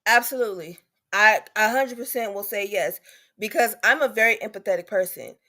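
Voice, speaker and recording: A woman's voice: medium pitch, brisk, bright, forceful tone. A woman, speaking candidly and emphatically as a patient advocate in a panel discussion, repeating points for stress. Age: 30s.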